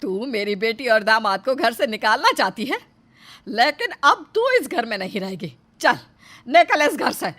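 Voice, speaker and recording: A woman acts out a spiteful mother-in-law in a storybook family drama. Her fairly high voice scolds and accuses, heaping blame on others. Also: animated, unhurried, fairly bright tone. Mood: bitter, accusing. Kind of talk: dramatised moral story acting.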